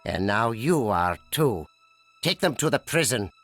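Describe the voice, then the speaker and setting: A man playing a smug, sneering villainous henchman in a video game cutscene, gravelly, with music behind him. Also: mid-range voice, sing-song, unhurried, fairly bright tone. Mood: smug gloating. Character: gloating villainous henchman of a dark god.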